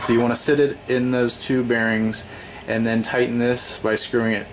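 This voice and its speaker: A man talking through a home plumbing repair step by step at his workbench, relaxed and explanatory, often pausing with "um" and correcting himself. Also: medium pitch, a little hiss or hum, poor audio. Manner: relaxed and explanatory, with frequent ums and self-corrections. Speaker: DIY home repair presenter. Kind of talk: casual hands-on tutorial. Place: indoor workshop at a wooden workbench.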